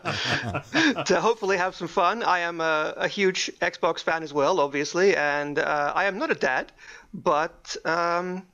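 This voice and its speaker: A man's voice: a little high, a little slow, sharp tone. A man from Finland, a moderator chatting and joking on a podcast. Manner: joking, chatty, laughs often. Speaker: subreddit moderator. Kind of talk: casual podcast chat.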